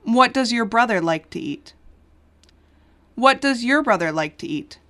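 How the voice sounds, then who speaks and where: A woman's voice: on the high side, lively intonation, measured pace, fairly bright tone. A woman reading English listening-test dialogue lines with careful, well-articulated delivery. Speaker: dialogue reader.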